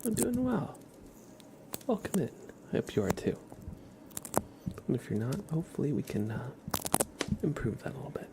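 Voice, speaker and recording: A soft-spoken man hosting an ASMR livestream, gently chatting with viewers and often slipping into a whisper, close on the mic. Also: medium pitch, wildly swooping pitch, normal speed, vocal fry, pauses often, very noisy. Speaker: livestream host. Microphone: close ASMR microphone.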